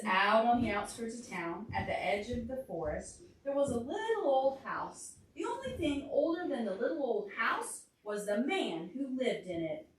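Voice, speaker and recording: A woman reads a picture book aloud at an unhurried pace for small children. She sits well back from the microphone in a very echoey indoor room. She breaks off from the text to ask the children questions. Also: on the high side. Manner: asks the children questions along the way. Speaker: children's storytime librarian. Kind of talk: animated read-aloud to young children. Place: indoor library storytime room. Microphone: distant room microphone. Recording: echoey room, distant mic, faint noise.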